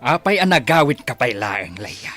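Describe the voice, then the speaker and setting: Stern village father for radio drama man acting: angry, scolding, tense and hugely animated. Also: mid-range voice, moderate pace, soft background music. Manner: scolding, forceful. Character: stern, angry father disowning his daughter.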